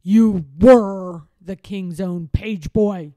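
A woman's voice: lowish voice, slow pace, dark tone, dry recording, pitched below the performer's own voice. A woman voicing a scheming, bullying lord of a royal castle in a children's story, with a harsh, commanding manner. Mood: harsh anger.

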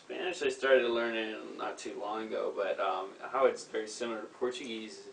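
A man's voice: on the low side, fairly quick, creaky, fairly bright tone, far from the mic. A young man from Canada chatting casually on a living room sofa, explaining at length with frequent fillers and restarts. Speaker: guest. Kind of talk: casual chat. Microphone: far camera. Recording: echoey room, from afar, faint hiss.

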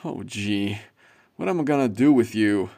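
A man's voice: fairly low pitch, expressive, moderate pace, vocal fry, warm tone. A man voicing a heartbroken, kindly, easily startled young man in a dramatic manga dub. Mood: gentle, wry.

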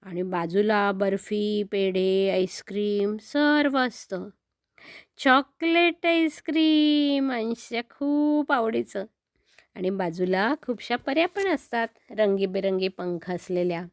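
A woman's voice: medium pitch, slow, no room sound, clean studio sound. An older woman telling a story to children in a simple, grandmotherly way, often repeating words.

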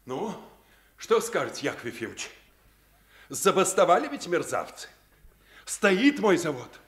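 An actor playing a callous, greedy factory owner in a Soviet radio play, sneering and blustering. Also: male voice, high voice, big pitch swings, measured pace. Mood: indignant.

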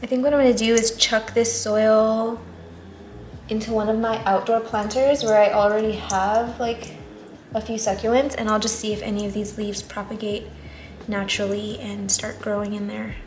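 A woman's voice: medium pitch, flat delivery, normal speed, slight background noise. A young woman with a stuffy, nasal, partly lost voice chatting casually while repotting plants, trailing into murmured asides. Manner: chatty, self-doubting, many fillers and murmured asides. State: congested, nasal, voice partly gone. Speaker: plant vlogger. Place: indoors at a table.

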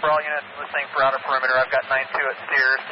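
A male officer directs units by police radio in a mid-pitched voice. The channel is dull, with clear static. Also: little pitch movement, rapid-fire.

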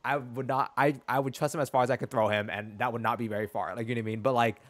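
A man, chatting casually as a game streamer in an expressive, sassy, slangy way. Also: mid-range voice, racing. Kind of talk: casual chat and reaction to a story game. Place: small dim room indoors.

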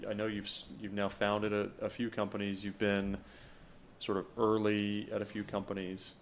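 A man's voice: on the low side, monotone, moderate pace, slight background noise. A man in his thirties hosting a podcast, asking interview questions in a friendly, eager way with frequent word repeats, at a desk mic in a small studio. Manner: friendly, enthusiastic, repeats words. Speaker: podcast host. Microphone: desk podcast microphone.

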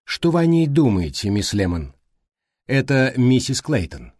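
A man voicing a thoughtful, courteous, exacting male detective in an audiobook, speaking politely and deliberately. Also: mid-range voice, very animated, a little mellow, dry recording. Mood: inquisitive. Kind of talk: audiobook character reading.

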